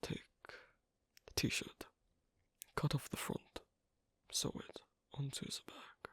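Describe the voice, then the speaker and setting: A performer voicing an asocial, reticent werewolf in an ASMR roleplay, speaking haltingly in a breathy, husky half-whisper. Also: no echo, natural voice. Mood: quietly explaining. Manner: halting, hesitant, low-key. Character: asocial, reticent young werewolf on a sewing date.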